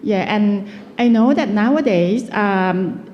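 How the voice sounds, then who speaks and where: A woman's voice: medium pitch, slow, lo-fi sound, slight background noise. A woman giving a guest lecture in a chatty, conversational way, often stopping to search for words. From Thailand. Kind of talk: casual lecturing. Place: lecture hall. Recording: long reverb of a lecture hall.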